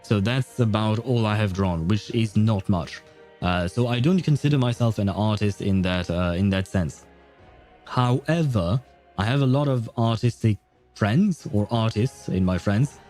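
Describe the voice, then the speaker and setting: Mellow, low-voiced man streaming casually. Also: a little slow, faint music behind. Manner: hesitant, rambling, chuckling often. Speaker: livestream host. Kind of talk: casual gaming livestream chat.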